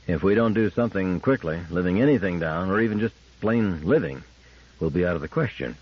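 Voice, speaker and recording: Casting: male narrator as composed, terse male engineer leader, sci-fi audiobook, lowish pitch, husky edge. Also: animated, brisk, lo-fi sound. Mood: firm. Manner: controlled, terse, reasoning. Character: level-headed, brown-mustached male team leader engineer.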